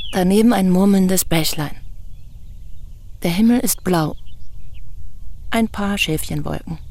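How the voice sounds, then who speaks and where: A woman's voice: medium pitch, darkish tone, a little hiss or hum, lightly acted. An actress playing a bold, talkative woman visitor in a German radio play, articulate, insistent and teasing. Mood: chatty, teasing.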